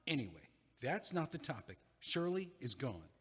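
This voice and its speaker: A man's voice: mid-range voice, sing-song, husky. Man acting an earnest, flustered guy, echoey space. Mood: flustered, defensive. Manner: earnest, halting, easily flustered. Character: earnest, flustered young man, law-trained, loyal friend. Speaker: actor in an audio play. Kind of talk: naturalistic comedic radio-play dialogue. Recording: echoey hallway-like reverb.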